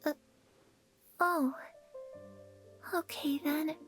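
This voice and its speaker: A woman voicing a candid, easygoing, trusting teenage girl in a video game, with a high, youthful voice and a frank manner. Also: fairly even intonation, extremely slow, a bit bright, heavily acted. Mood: curious, accepting. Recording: music behind the voice.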